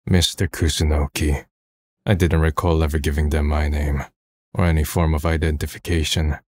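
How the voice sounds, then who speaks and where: A man's voice: very deep voice, little pitch movement, normal speed, darkish tone, no room sound, studio quality. A man playing a gloomy, self-deprecating twenty-year-old who narrates his own story in a subdued, resigned way. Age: young adult. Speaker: narrator and protagonist. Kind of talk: scripted first-person narration. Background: background music.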